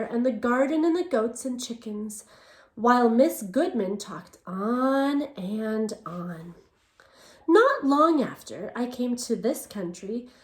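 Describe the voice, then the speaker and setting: A woman teacher reading a picture book aloud to children in English and then Spanish, pausing to ask them questions. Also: on the high side. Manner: expressive storytelling, asks children questions. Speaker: elementary school teacher. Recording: echoey room.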